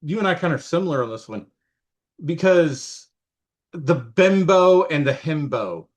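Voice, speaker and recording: A man's voice: fairly high pitch, expressive, measured pace. Eager male podcast host. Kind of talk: casual interview chat.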